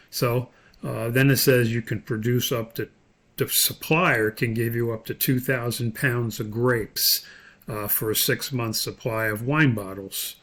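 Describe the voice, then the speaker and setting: A man explaining things informally as an instructor in an unscripted screen-share tutorial, pausing often as he goes. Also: lowish voice, fairly bright tone. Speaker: course instructor. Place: indoors, voice-over for screen recording.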